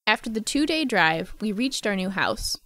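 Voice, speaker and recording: Woman acting a reflective narrator, medium pitch. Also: sing-song, normal speed, noisy background, natural voice. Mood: descriptive. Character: reflective grown woman recalling haunted childhood. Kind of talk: scripted radio play narration.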